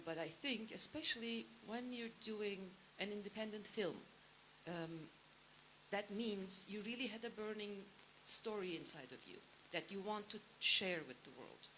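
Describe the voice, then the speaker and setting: A woman from Turkey, a filmmaker and producer, speaking on a panel in a measured, thoughtful way. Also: medium pitch, sharp tone, a little hiss or hum, poor audio. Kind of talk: panel talk.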